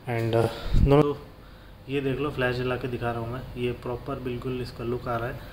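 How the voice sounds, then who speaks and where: Man, Indian accent, medium pitch, casual vlogger, informal, English phrases mixed in, noisy ambient recording. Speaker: motorcycle vlogger. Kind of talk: casual vlog narration. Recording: clear background noise, ambient sound.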